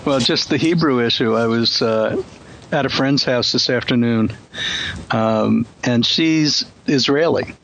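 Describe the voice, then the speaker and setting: A man's voice: mid-range voice, a little slow, a bit bright, poor audio. A man hosting a casual discussion, speaking thoughtfully with frequent ums and you-knows. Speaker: host.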